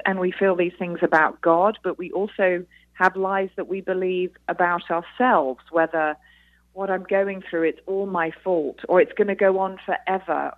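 A woman's voice: on the low side, no echo. A British woman, middle-aged, answering radio interview questions over the telephone in an earnest, explanatory way. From Britain. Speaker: interview guest, author. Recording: muffled, band-limited phone line.

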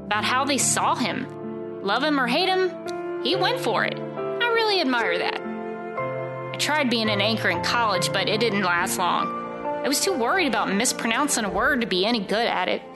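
A woman's voice: a little high, expressive, normal speed, natural voice. A woman playing a wistful news producer giving an earnest, reflective monologue in an audio drama. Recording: music under the voice.

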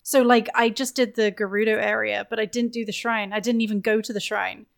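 A woman's voice: on the high side, little pitch movement, a little fast, creaky. A woman chatting casually about a video game as a podcast guest.

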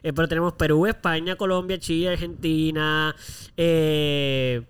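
A man's voice: fairly high pitch, fairly even intonation, unhurried, smooth. A man with a Puerto Rican accent co-hosting a casual podcast, speaking informally and mixing English words into his Spanish. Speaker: podcast co-host.

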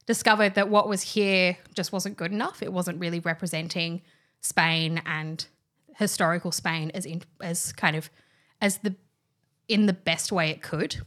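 A woman's voice: on the low side, fairly even intonation, normal speed, fairly bright tone. Chatty young woman. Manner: chatty, lots of fillers and restarts, giggly. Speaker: guest, wine trainer. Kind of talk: casual chat.

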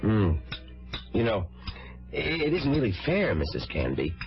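Young American male boarder, friendly and eager, animated delivery, hesitant, radio drama, muffled old recording. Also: fairly low pitch, unhurried, loud music behind the voice, heavily acted. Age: young adult.

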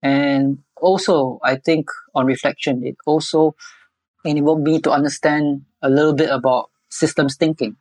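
Male podcast guest, Singaporean accent. Conversational, unhurried phrasing with hesitations, very little room sound. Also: medium pitch, dry recording, low-quality recording. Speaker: podcast guest, environmental educator. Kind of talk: conversational interview answers.

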